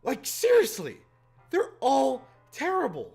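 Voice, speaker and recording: A man's voice: very high voice, wildly swooping pitch, slow pace, darkish tone. A man in a casual, exasperated rant as a gaming video host, informal and sweary. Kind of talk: casual opinionated rant to camera or mic. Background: background music.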